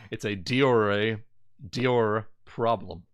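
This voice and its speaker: Guy in an online tabletop game, slow talker, dry close mic, laughs a lot. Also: lowish voice, dry recording. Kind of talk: casual tabletop role-play chat.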